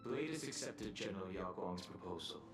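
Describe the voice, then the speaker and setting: A reserved young guardian in a video game. His voice is low, serious and measured, earnest throughout. The sound is echoey with music behind it. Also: animated. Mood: solemn.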